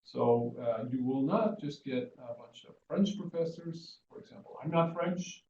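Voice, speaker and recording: A middle-aged man from Sweden presents as a professor, stopping and restarting as he goes. His voice has a lot of fry, and the sound is roomy with faint background noise. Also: mid-range voice, unhurried, a little mellow. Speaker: business school professor presenting webinar. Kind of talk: informal slide presentation. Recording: muffled, echoey room, faint noise.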